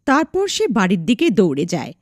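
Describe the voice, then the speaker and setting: A woman's voice: medium pitch, wildly swooping pitch, a little fast, no room sound, clean studio sound. A woman narrating a scripted dramatic story as a storyteller.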